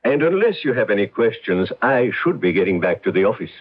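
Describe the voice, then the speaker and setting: Courteous formal gentleman, swooping pitch. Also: sing-song, brisk. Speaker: radio drama actor. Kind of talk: old-time radio drama acting. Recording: thin, phone-like vintage radio recording.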